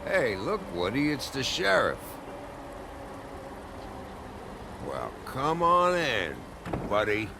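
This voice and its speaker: An actor playing a cocky, foul-mouthed drunk in a noir video game, gravelly, with a mocking drawl. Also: male voice, medium pitch, wildly swooping pitch, unhurried, noisy background, full character voice. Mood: mocking welcome. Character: drunken, taunting, cocky, foul-mouthed male bar troublemaker.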